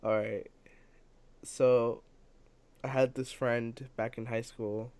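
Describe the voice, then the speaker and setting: A young man tells a story on a casual podcast among friends. His delivery halts and starts again as he feels his way through it, at an ordinary mid male pitch. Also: level tone, slow pace, darkish tone, clear, resonant tone, no echo. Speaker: podcast co-host.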